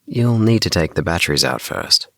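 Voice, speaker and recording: A man's voice: sits low, sing-song, normal speed, vocal fry, pushed and bright, no echo, clean studio sound, full character voice. A man voicing an inquisitive, cheeky schoolgirl who asks probing questions in a children's audiobook. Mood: curious. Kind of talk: children's audiobook character dialogue.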